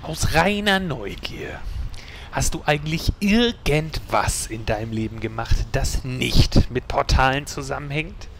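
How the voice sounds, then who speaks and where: A man's voice: mid-range voice, animated, a little slow, a bit bright, a little hiss or hum. A man playing an impatient adventurer in a parody audio drama, sarcastic and matter-of-fact. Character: dry, impatient adventurer mocking his companion.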